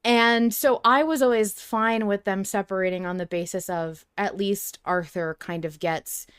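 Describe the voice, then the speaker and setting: A woman with a clear, resonant voice in a very dry recording space. She talks as a podcast co-host in casual fan discussion. Her delivery is emphatic and opinionated, with offhand swearing, at a middling pitch. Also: steady tone, unhurried, dry recording.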